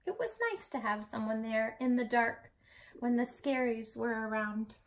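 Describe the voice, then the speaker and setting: A woman storytime host reading a picture book aloud to children in an expressive, dramatic way. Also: a little high, a little slow. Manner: animated, dramatic delivery for children.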